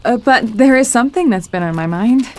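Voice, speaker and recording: A woman's voice: fairly high pitch, lively intonation, a little fast, darkish tone, close to an everyday voice. A woman playing a defiant, sensitive schoolgirl who is new in town, in an audio drama, with an earnest, hesitant delivery. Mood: hesitant, wistful.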